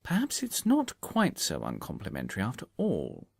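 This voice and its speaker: Man reading as a precise, animated detective. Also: lowish voice, vocal fry, slightly rough, no room sound, studio quality, exaggerated voice. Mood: brisk, courteous. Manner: measured, precise, explanatory. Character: calm, shrewd Victorian male detective, politely methodical.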